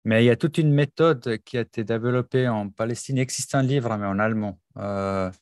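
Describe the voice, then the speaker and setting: A man's voice: medium pitch, brisk, darkish tone, dry recording, clean studio sound. A man hosting an interview in French, a native German speaker, chatting and reacting to his guest. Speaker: podcast host. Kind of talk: conversational interview.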